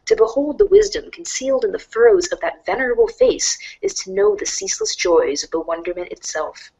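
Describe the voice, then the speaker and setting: A woman voicing a wry, mock-grandiose storybook narrator in a dramatic read-along style, deadpan and sardonic. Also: fairly high pitch, a little fast, gravelly, heavily acted, rough recording. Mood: mock-grand. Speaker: narrator of a fan readers' theater show.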